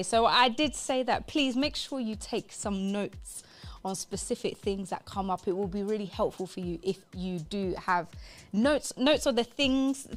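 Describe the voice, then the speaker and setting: A woman presenting a live radio show in an upbeat, emphatic way, repeating phrases for stress. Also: mid-range voice.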